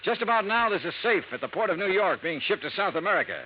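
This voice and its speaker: A dry wisecracking radio-noir investigator, lively voice, muffled old broadcast. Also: male voice, high voice, fast, slight background noise. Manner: dry, wry first-person narration. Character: wisecracking freelance male insurance investigator. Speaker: insurance investigator. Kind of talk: 1950s radio drama acting. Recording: dull 1950s radio broadcast.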